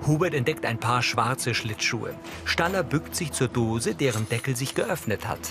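A man's voice: medium pitch, expressive, moderate pace. Matter-of-fact male narrator over music. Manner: neutral, matter-of-fact delivery. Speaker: audio description narrator. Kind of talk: scripted scene narration for a TV series.

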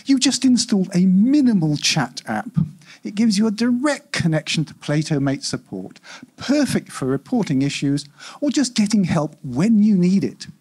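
Give me a customer-service AI from a near-future story, read aloud by a man. It is unfailingly polite and quite implacable. The patter is scripted and corporate, in a slightly husky voice with lively swings of pitch. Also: normal speed, heavily acted. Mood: polite, insistent. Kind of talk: live short-story reading.

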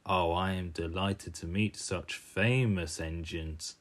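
A man voicing a sly, smug diesel engine in a children's cartoon, with a smooth, pompous drawl. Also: low-pitched, steady tone, slow pace, pitched below the performer's own voice. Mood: smug, scheming. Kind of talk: amateur cartoon character voice reel.

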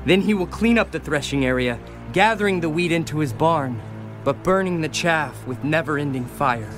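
Guy acting a fiery wilderness preacher, highish voice, stern and urgent, loud music behind. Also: moderate pace, lightly acted. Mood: fervent warning. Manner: denouncing, exhortative. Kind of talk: dramatized audio Bible acting.

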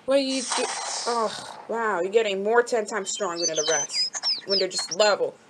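A woman giving casual let's-play commentary, excitable and chatty, talking straight to the game characters and repeating herself. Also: mid-range voice, moderate pace, background noise. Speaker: gameplay commentator. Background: game music.

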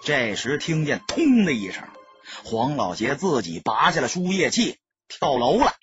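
A man narrating an audiobook in a dramatic, emphatic storyteller's style, with a husky, rough-edged voice. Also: medium pitch, unhurried, faint music behind. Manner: expressive, emphatic storytelling delivery. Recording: dull lo-fi audio, dry sound.

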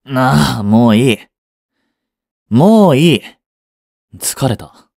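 Sulky young boyfriend played by a male actor: curt, skeptical, widely varied pitch, dry close sound, romance audio drama. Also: animated, very slow, creaky, a little mellow, dry recording, exaggerated voice. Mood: irritated, weary. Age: young adult. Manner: blunt, muttering, sighing.

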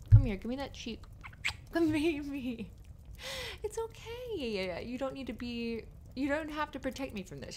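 A woman playing an affectionate, playful writer girlfriend in an intimate ASMR roleplay, gently reassuring her partner in a giggly, rambling way. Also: medium pitch. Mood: tender, amused. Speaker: audio roleplay performer. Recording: noisy fireplace ambience behind voice. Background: fireplace ambience.

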